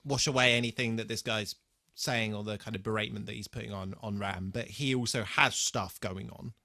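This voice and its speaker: Bright-voiced British man in casual discussion. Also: fairly low pitch, fairly even intonation, fairly quick, gravelly, no room sound, studio quality. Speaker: podcast co-host. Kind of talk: casual TV re-watch chat.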